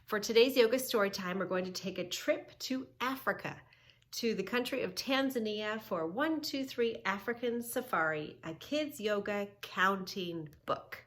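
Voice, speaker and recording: A woman hosting a children's yoga read-along, teaching in an emphatic, sing-song way with drawn-out key words and questions. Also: mid-range voice. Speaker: children's yoga story-time host. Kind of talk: read-along lesson for young children, narrating and instructing.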